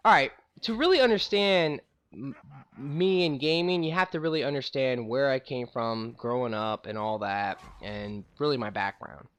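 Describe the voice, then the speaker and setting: A man's voice: medium pitch, a bit bright. Young guy rambling about his life, voice jumps up and down a lot, lots of "you know" and "like". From St. Louis, USA. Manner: rambling, conversational, many fillers. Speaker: gaming YouTuber. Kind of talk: casual storytelling to camera.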